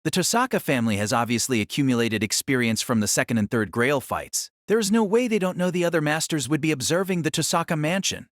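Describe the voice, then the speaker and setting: Male narrator, scripted novel narration, animated intonation, fairly high pitch, dry recording. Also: quick pace, few pauses, no echo.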